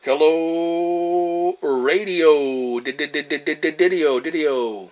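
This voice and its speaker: A man's voice: a little high, steady tone, slow pace. A man chatting casually and hesitantly as a CB radio operator, making call-outs on air. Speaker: amateur CB radio operator and video host. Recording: very noisy, loud radio static. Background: CB radio noise.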